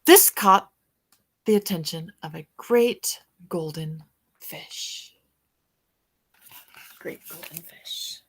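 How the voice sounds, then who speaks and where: A woman's voice: fairly low pitch, extremely slow, pauses often, fairly bright tone, husky. A woman reading a picture book aloud as a teacher-storyteller, stretching out words and pointing out details to young listeners.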